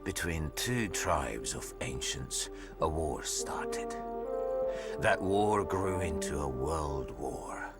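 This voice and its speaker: A man voicing a grave, embittered old leader in a video game, telling lore in an ominous, gravelly mutter. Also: rumbling low, animated, exaggerated voice. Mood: ominous storytelling. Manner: slow, ominous, breathy muttered storytelling. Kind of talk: dramatic game narration. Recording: loud music behind the voice. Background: loud game music.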